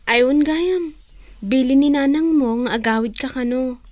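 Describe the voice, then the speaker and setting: A kind young student in a radio drama. She is a loyal, generous friend with a high voice, recorded dry and close. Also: unhurried, a little mellow, dry recording. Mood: concerned.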